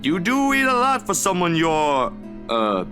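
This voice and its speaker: A man's voice: sits high, huge pitch swings, measured pace, bright, forceful tone, heavily acted. A male actor playing an easygoing, protective young best friend in a visual novel, with a casual, caring manner. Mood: teasing. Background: guitar music.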